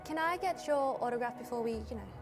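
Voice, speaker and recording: A woman's voice: on the high side, quick pace, over music, lightly acted. A woman acting a sweet, starstruck yet bloodthirsty cult member in a scripted comedy. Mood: sweetly fawning. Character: sweet, starstruck, bloodthirsty female cultist fan.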